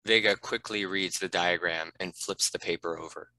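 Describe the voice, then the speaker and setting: Deep, crisp-toned man narrating a script. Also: steady tone, moderate pace, bright, forceful tone, dry recording, low-quality recording. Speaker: narrator reading stage directions.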